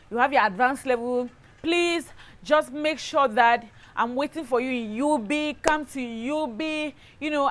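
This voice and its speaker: High-voiced Cameroonian woman, animated and chatty. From Cameroon, southwest region. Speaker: lifestyle YouTuber, host. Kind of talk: casual story-time vlog chat.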